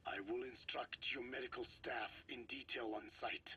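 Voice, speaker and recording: An actor playing an ancient male scientist in a video game, speaking in a measured, formal, precise way with a whispery voice. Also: mid-range voice, steady tone, sharp tone, highs cut off, a little hiss or hum. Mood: calm, instructive.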